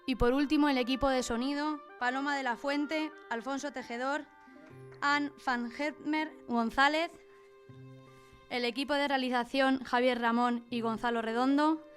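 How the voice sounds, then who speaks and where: A woman's voice: high voice, fairly even intonation, moderate pace. A woman announcer reading scripted closing credits for a radio drama. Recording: music behind. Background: music.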